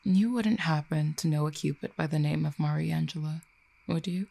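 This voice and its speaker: A performer in an audio roleplay voicing a composed, defiant exiled cupid with a dangerous streak, speaking in measured, formal phrases. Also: medium pitch, fairly even intonation, gravelly, warm tone, no room sound. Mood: polite, curious. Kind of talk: audio roleplay acting, addressed to listener.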